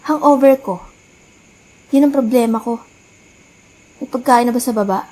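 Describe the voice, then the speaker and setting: A woman's voice: on the high side, moderate pace, mellow, close to an everyday voice. A woman playing a grumpy, ungrateful seventeen-year-old girl in a radio drama, with a whining, curt delivery. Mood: groggy, irritable. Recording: music behind the voice.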